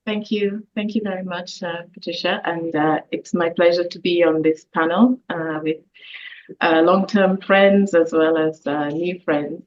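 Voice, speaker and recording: A woman's voice: on the low side, fairly even intonation, measured pace, darkish tone. A woman answering as a panelist in a webinar, polite and formal, speaking over a video call. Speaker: panelist, trade official. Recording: dull video-call audio.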